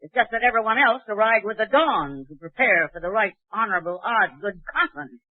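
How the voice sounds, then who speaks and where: An actress playing a droll, gossipy school colleague in a 1940s radio comedy. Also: female voice, mid-range voice, expressive, brisk, no echo, natural voice. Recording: dry, muffled 1940s radio recording.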